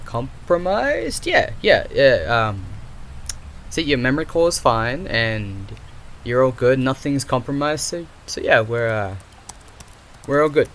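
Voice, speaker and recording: A man acting a nervous, secretive AI developer in an audio roleplay, halting and stammering as he mutters. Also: mid-range voice, a bit bright, slight background noise. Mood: nervous, flustered. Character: nervous, secretive male AI developer, flustered and suspicious.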